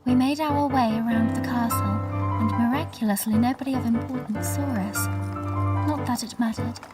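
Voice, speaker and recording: A woman playing a gentle young princess who narrates her memories in a scripted audio drama, measured in delivery. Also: a little high, fairly even intonation, loud music behind the voice, close to an everyday voice. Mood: wistful, reflective. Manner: measured, storytelling.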